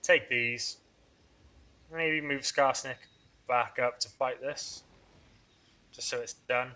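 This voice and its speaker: A man narrating his strategy game session in a loose, rambling commentary, talking his moves through out loud. His voice is bright and forward, sitting at an ordinary pitch, and he often pokes fun at himself. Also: steady tone, slow. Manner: rambling, thinks aloud, self-deprecating. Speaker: let's play host. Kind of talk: casual gameplay commentary.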